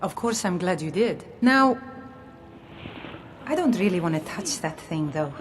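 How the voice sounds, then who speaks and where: Low-voiced, harried temple priestess. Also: female voice, expressive, a little slow, slight background noise. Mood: hesitant, businesslike. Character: harried, reluctant temple priestess healer tending wounded. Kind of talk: scripted video game dialogue. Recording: game dialogue under background music.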